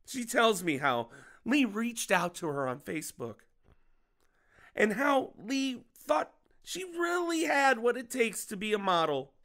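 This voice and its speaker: An American man acting in an audio drama as a middle-aged, menacing, vengeful father, speaking with deliberate, controlled menace. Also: extremely high pitch, sing-song, a bit bright, heavily acted. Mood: bitter, anguished.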